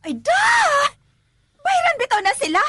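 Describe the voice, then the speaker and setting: Cebuano woman in a radio drama, really high voice, dry close studio sound. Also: sing-song, normal speed, bright, forceful tone, no echo, raised voice, soft background music, full character voice. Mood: whining, defensive. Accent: Cebuano Filipino. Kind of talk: Filipino radio drama acting.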